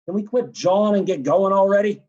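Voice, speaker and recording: A man voicing a gruff, impatient young male patient who grumbles sarcastically. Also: high-pitched, a little fast, a little mellow, muffled recording, close to an everyday voice. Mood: sarcastic.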